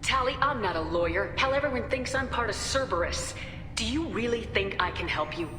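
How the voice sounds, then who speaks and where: A woman playing a blunt, steady human military commander in a video game, brisk and plain-spoken. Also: a little high, lightly acted. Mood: blunt, doubtful. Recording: echoey hall, music underneath.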